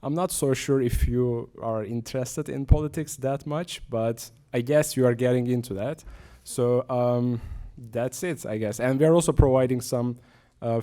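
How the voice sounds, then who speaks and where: A Turkish-accented man addresses a group in a dark, mellow voice of middle pitch. He introduces himself and his organization. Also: steady tone, normal speed, hardly any pauses. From Turkey. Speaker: chairman of a fact-checking association. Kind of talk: self-introduction to an audience.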